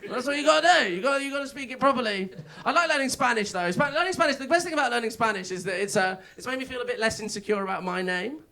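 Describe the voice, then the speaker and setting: High-pitched, bright English comedian with a gravelly edge. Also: male voice, lively intonation, racing, few pauses. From England. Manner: chatty, self-mocking, with frequent filler words. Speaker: stand-up comedian. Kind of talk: stand-up comedy set. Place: comedy club stage.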